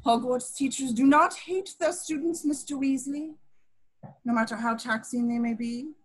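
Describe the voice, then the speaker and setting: A woman plays a stern older witch who teaches at a wizard school. Her voice is high and rough-edged, and her manner is formal and proper, as in a fantasy script read. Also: moderate pace, rough recording. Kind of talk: table read of a fantasy screenplay.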